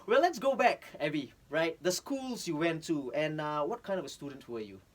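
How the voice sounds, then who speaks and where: A man with a Singaporean English accent hosting a casual podcast, his voice sitting a little high. He keeps up brief affirmations and stumbles over his restarts. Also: expressive, moderate pace. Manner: friendly, brief affirmations, stammers. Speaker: podcast host. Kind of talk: casual interview chat.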